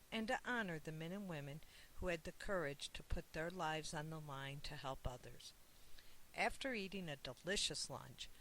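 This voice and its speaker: An author reading a children's picture book aloud in a measured, expressive way. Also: mid-range voice, slight background noise, low-quality recording. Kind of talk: scripted picture-book narration.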